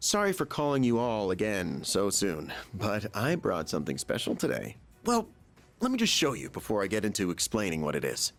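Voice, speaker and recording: A genial middle-aged chairman from a video game. His voice is a little low but highly animated, polite and keen to explain. Soft music plays behind him. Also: moderate pace.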